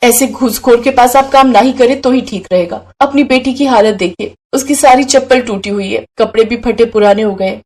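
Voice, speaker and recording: A woman's voice: a little high, normal speed, few pauses, a little mellow, close to an everyday voice. A woman voicing a poor, devoted young wife and mother in a moral story, speaking in an earnest, plaintive way. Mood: indignant, alarmed.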